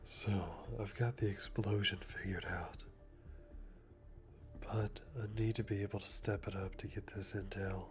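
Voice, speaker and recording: A man playing a calm, methodical hitman, musing to himself softly and deliberately with dry amusement in an ASMR roleplay. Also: fairly low pitch, lightly acted. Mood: calm, calculating. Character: calm, methodical male hitman planning a kill. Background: music.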